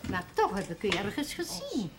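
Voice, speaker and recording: A woman's voice: mid-range voice, huge pitch swings, normal speed. An actress playing a talkative, inquisitive, pious village woman in a period television drama. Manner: inquisitive, gossipy. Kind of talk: naturalistic Dutch television period drama acting. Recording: noisy old TV soundtrack.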